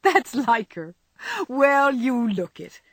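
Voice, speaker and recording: Spirited young storybook heroine, played by a woman narrator, high and swooping, exclamatory, playfully scolding. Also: very animated, normal speed, a bit bright, breathy edge, dry recording, clean studio sound. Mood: teasing.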